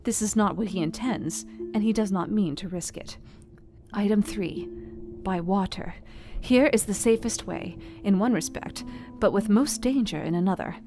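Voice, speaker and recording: Actress as an earnest, precise young Victorian wife. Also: female voice, medium pitch, close to an everyday voice. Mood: analytical, intent. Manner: earnest, precise, deliberate diction. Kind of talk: dramatized audio reading of a Gothic novel journal. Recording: music behind the voice. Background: instrumental music.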